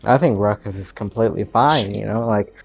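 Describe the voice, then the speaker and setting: A woman's voice: a bass voice, a little fast, gravelly, darkish tone, husky, highs cut off, faint music behind. A woman playing a tabletop game, bantering casually in character with a flippant manner.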